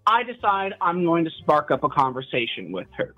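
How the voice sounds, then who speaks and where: A young man in his twenties calls in and tells a story. His pitch swings widely as he talks, and faint music plays behind him. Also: a little fast, narrow, tinny audio. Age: 20s. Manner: animated storytelling. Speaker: caller. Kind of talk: casual phone call.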